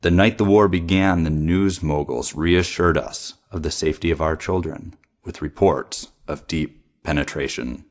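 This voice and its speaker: A man's voice: very deep voice, normal speed. A man reading poetry aloud in a recitation style. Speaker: poetry reader.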